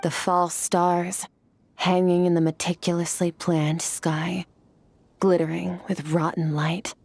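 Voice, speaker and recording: An actress playing a cool, ageless woman warrior, calm, detached and philosophical. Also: slow pace, dry recording. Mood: contemplative. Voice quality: husky, low and composed. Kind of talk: video game voice acting.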